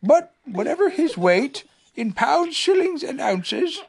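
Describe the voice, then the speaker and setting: A parent reading a bedtime story aloud, voicing a gentle, dreamy teddy bear who is pleased with his own poems. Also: sits high, sing-song, slow pace, vocal fry, pitched above the performer's own voice, full character voice. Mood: musing. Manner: musing, pleased with himself.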